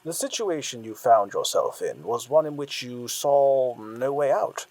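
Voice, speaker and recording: Husky, measured male therapist, played by an actor. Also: medium pitch, sing-song, darkish tone, no echo, poor audio. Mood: measured, reassuring. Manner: deliberate, explanatory. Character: measured, analytical male therapist explaining the mind. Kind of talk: audio drama dialogue acting.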